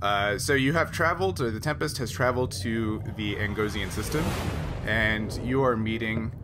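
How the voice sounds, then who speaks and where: A man narrating a tabletop game as game master in a casual, halting, explanatory way. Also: fairly low pitch. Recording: clearly noisy group recording.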